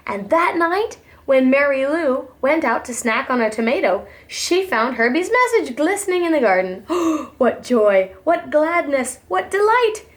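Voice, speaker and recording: Casting: female preschool teacher type, high voice, slow and highly animated picture book narration. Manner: animated, dramatic storytelling. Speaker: preschool teacher reading aloud.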